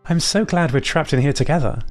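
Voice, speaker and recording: A man puts on an exuberant woman tinkerer for audio fiction. The voice is lifted high, chatty and excitable, gushing in a friendly way over music. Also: brisk, close to an everyday voice. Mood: warm, welcoming. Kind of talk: audio fiction character dialogue.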